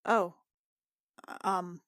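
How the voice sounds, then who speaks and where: A woman playing an earnest, shaken female healer in a tabletop game, talking in a halting, insistent, anxious way. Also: fairly low pitch, fairly even intonation, fairly bright tone, no room sound, clean studio sound.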